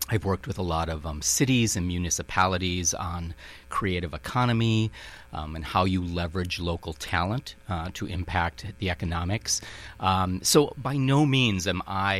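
Minnesota guy, low voice, museum director chatting on radio, lots of um and you know. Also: fairly bright tone. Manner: thoughtful, many fillers and hedges. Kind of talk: radio interview.

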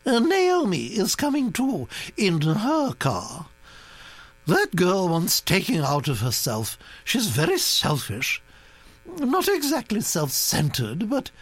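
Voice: mid-range voice, no echo, pitched above the performer's own voice. A reader putting on a bossy old duchess. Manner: commanding, theatrical. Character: imperious elderly aristocratic duchess, bossy. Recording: dry recording with mains hum.

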